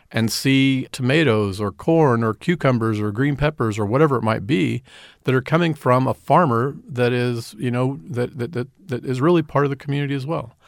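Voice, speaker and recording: A middle-aged man speaks earnestly as a radio interview guest, stopping to repeat and restart his words. His voice has a gravelly edge at a mid pitch. The sound is dry and close, with no room around it. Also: no room sound. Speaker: community development director, guest.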